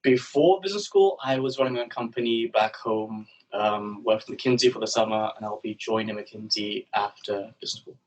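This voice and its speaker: A man's voice: fairly low pitch, normal speed, hardly any pauses. Casual young man answering on a call. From Uganda. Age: young adult. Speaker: panelist. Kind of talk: panel answers. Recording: distant from the mic.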